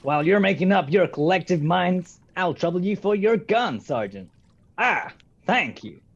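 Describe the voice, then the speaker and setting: An actor playing an urbane, mocking villain in a radio detective play, smug and drawling with elaborate politeness. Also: male voice, high-pitched, lively intonation, normal speed, slightly rough, full character voice. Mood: amused, gloating. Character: suave gloating male villain, urbane and mocking. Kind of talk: old-time radio detective acting. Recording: dull, lo-fi video call recording.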